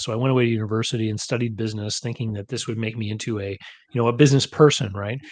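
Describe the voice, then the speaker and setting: A man from Canada, a business consultant guest on a podcast, telling his story in a brisk, friendly, explanatory way. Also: on the low side, dry recording. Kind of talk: conversational interview.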